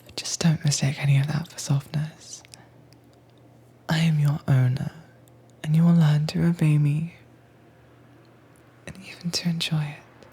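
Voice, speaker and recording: A dominant mistress, acted by a woman, speaks low and very breathily, almost whispering. She takes her time, coaxing and teasing in an intimate roleplay while staying firmly in command. Her tone is dark and velvety soft. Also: little pitch movement, a little slow, gravelly, long pauses, breathy edge, exaggerated voice. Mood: calm, controlling.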